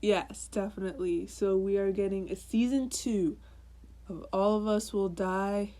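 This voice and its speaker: A woman co-hosting a podcast, chatting casually in an unhurried, teasing way with lots of fillers. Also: medium pitch, fairly even intonation, mellow. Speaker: podcast co-host. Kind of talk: casual chat.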